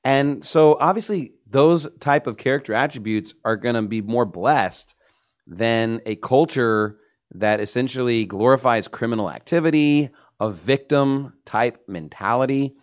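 A man's voice: mid-range voice, unhurried. A man giving emphatic, opinionated religious commentary as a panelist in a discussion.

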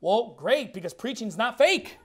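A man's voice: extremely high pitch, sing-song, normal speed. A man in his thirties preaching a fiery, blunt sermon in a church while losing his voice. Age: 30s. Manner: emphatic, blunt, repeats words. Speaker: pastor.

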